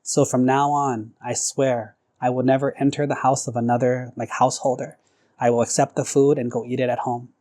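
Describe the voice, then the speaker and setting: A man voicing a calm, selfless monk in a religious story, measured, plain-spoken and resolute. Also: mid-range voice, close to an everyday voice. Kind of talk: acted quotes in a religious story.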